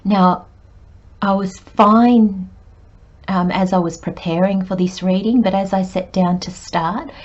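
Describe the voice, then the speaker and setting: Croaky lady doing a tarot reading, slow rambly delivery, kinda muffled dull audio. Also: medium pitch, fairly even intonation, darkish tone. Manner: unhurried, meandering with many pauses and fillers. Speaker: tarot reader. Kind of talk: spoken tarot reading monologue.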